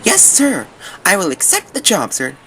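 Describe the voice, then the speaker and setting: A man's voice: high-pitched, huge pitch swings, moderate pace, sharp tone, slight background noise, heavily acted. A man playing an earnest, wistful little sailboat in an amateur audio drama, speaking expressively and politely. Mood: eager.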